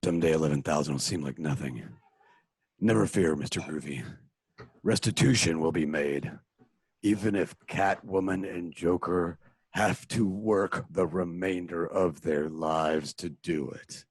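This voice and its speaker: A man's voice: deep voice, a little slow, breathy edge, dry recording, exaggerated voice. An actor playing an earnest, righteous male crime-fighter who speaks in a stiff, formal manner.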